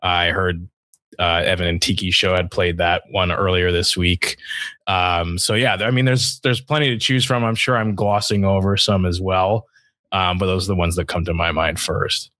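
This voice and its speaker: A man with a fairly deep voice talks sports as a podcast co-host. His delivery is casual and reflective, like a conversation. The sound is very dry, with no room to it. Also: steady tone, moderate pace, pushed and bright, no echo.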